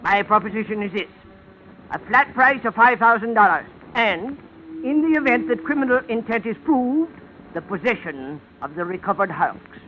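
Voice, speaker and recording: High-voiced, florid old-radio eccentric seafarer. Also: male voice, animated, moderate pace. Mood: shrewd, businesslike. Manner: florid, formal, chuckling, hesitant flourishes. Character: eccentric, jovial, shrewd seafaring salvage expert. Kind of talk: 1950s radio drama acting. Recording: dull, hissy old radio recording.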